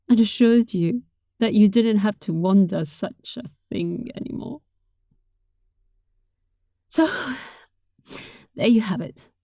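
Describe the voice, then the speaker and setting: A woman's voice: a little high, dry recording, heavily acted. A woman playing a sweet, affectionate young catgirl roommate in an intimate roleplay, speaking tenderly and breathily, often close to a whisper. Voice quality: soft, breathy. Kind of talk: intimate roleplay audio, softly acted monologue.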